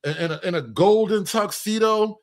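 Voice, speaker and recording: A man hosting a livestream with casual satirical commentary, speaking in an animated, profane, mock-enthusiastic way and repeating phrases for emphasis. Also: sits high, moderate pace.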